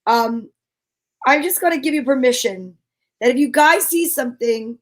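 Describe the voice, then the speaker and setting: A woman's voice: sits high, normal speed. A woman co-hosting a casual comedy chat show, talking in a playful, rambling way. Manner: playful, rambling, breaks into song. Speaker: co-host.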